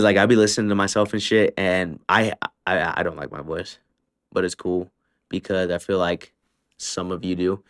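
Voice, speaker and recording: A man's voice: low-pitched, smooth, dry recording, studio quality. A young man hosting his own podcast alone, talking casually with lots of slang, swearing and filler words. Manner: laid-back, slangy and profane, with frequent fillers. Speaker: podcast host. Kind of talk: casual solo podcast monologue. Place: Utah, Salt Lake area.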